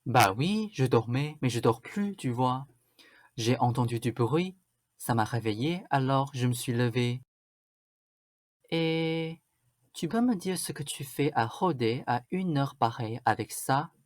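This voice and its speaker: A woman's voice: rumbling low, animated, a little slow, no room sound, studio quality, exaggerated voice. A woman playing a bossy, vain, suspicious stepmother in a radio drama, with a scolding, insistent manner. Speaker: student actor.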